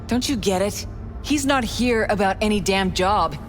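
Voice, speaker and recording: A woman's voice: medium pitch, normal speed, soft background music, close to an everyday voice. An actress playing a bitter, scornful female mercenary in a cyberpunk video game, blunt and biting. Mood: bitter anger. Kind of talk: video game drama acting.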